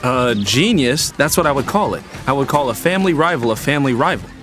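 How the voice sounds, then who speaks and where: A man's voice: medium pitch, expressive, fairly quick, close to an everyday voice. An actor playing an easygoing, cheeky youngest brother, a young man bantering playfully in a scripted audio drama. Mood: cheeky, confident. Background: music.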